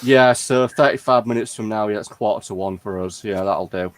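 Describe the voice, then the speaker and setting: A man's voice: fairly low pitch, a little fast, no room sound. A man hosting a fan community chat in a casual, conversational way.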